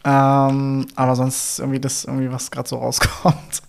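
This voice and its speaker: A man's voice: medium pitch, moderate pace, gravelly. Young guy w/ berlin accent, laid-back podcast chat, lots of ums and little laughs, mid-range voice. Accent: Berlin German. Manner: relaxed, chatty, many fillers and chuckles. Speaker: podcast co-host. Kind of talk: casual couple podcast chat.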